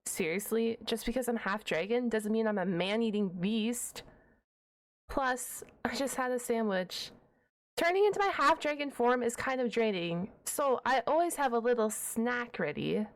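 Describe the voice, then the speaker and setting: A woman's voice: on the high side, lively intonation, normal speed. A woman playing a shy, nerdy half-dragon librarian in an ASMR roleplay, stammering and wordy, awkwardly defensive and a stickler for rules. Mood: flustered, defensive. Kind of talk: ASMR roleplay audio drama.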